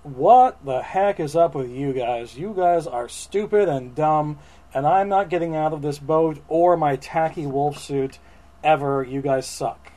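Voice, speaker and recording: A man's voice: on the high side, measured pace, mellow, close to an everyday voice. A man voicing a smug, bratty little storybook boy in a cocky, blunt, slangy manner. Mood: defiant.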